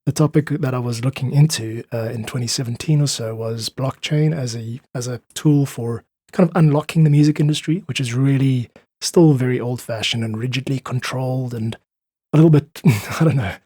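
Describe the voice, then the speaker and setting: Male podcast guest, husky mid-range voice, articulate explainer, dry sound. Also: medium pitch, normal speed, warm tone, no echo. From South Africa. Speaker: podcast guest, independent musician. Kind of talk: thoughtful interview talk.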